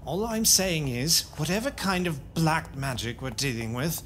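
An actor playing a troubled, defiant military overseer in an audio drama, speaking in an uneasy, earnest way. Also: male voice, a little high, lively intonation, unhurried, a bit bright, a little hiss or hum. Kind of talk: fan audio drama acting.

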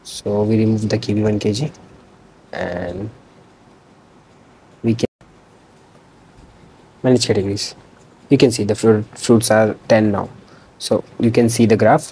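A man's voice: fairly low pitch, level tone, moderate pace, long pauses, dark tone, breathy edge, low-quality recording. A young man casually walking through a website demo from his room, talking loosely with restarts. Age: young adult. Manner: loose, unscripted, with fillers and restarts. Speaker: student presenting a project. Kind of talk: casual screen-recorded demo walkthrough. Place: small indoor room.

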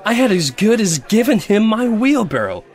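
Man playing a pompous, high-voiced, selfish miller. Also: big pitch swings, normal speed. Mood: smug, self-pitying. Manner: pompous, self-pitying. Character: selfish, self-important miller posing as devoted friend.

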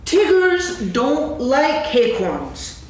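A bouncy, boastful young tiger, as a woman voices him, pitched high and drawn out slowly, with a lively swing to her voice. The sound is distant and very echoey, with hiss behind it. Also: full character voice. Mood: eager. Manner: eager and boastful. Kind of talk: staged reading of a children's play. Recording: echoey stage, distant mic, background noise.

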